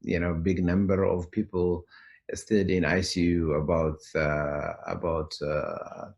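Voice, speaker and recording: Male interviewee, deep register, Ethiopian accent. Unscripted, explanatory delivery with a halting flow. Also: flat delivery, gravelly, a little mellow, lo-fi sound. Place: remote call.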